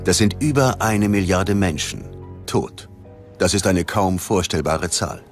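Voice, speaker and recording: A man narrating a scripted documentary in a dramatic, ominous and measured way. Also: sits low. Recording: music under the voice. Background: prominent background music.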